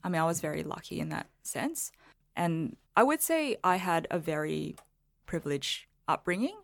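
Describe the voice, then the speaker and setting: Low-pitched woman from Singapore, chatting reflectively. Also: very animated, moderate pace, vocal fry. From Singapore, moved to Melbourne at thirteen. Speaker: podcast guest, lawyer and blogger. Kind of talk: casual interview chat.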